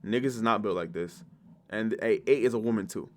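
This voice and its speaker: A man's voice: on the low side, fairly quick, a little hiss or hum. A man giving casual, off-the-cuff reaction commentary as a video host, blunt and full of swearing and slang.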